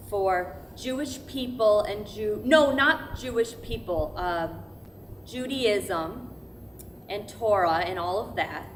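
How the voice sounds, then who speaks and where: A devout woman played by an actress tells her memories on stage in a slow, halting, emphatic way. She repeats words as she goes, and there is steady noise over the recording of the live reading. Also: medium pitch, close to an everyday voice. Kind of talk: verbatim theatre monologue, staged reading.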